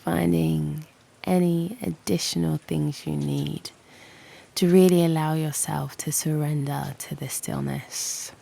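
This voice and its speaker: A British woman guiding a meditation in a soothing, breathy near-whisper, leaving long pauses between short phrases. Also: low-pitched, fairly even intonation. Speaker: meditation guide and channel host. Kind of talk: slow guided yoga nidra meditation. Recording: faint background noise with birdsong. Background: birdsong and soft nature ambience.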